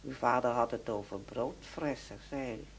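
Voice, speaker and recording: An actress playing a prim, inquisitive woman in a radio play, husky-voiced and insistently repeating her questions. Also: extremely low pitch, moderate pace, slight background noise, rough recording. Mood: insistent, prying. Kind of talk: radio drama acting.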